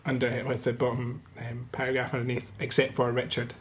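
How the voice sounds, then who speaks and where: A man's voice: medium pitch, monotone, a little fast, a little hiss or hum. Easygoing man with a middle voice. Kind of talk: casual chat.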